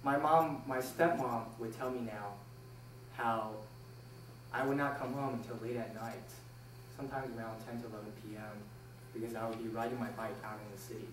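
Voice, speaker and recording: A young man from Taiwan delivers a prepared personal speech to an audience. He tells it deliberately, pausing between phrases. The recording is roomy and distant, with faint noise behind him. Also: mid-range voice, steady tone, a bit bright. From born in Taiwan, moved to the United States at six. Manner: deliberate, measured storytelling with pauses. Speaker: speech club member giving a speech. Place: indoor room, recorded from a distance.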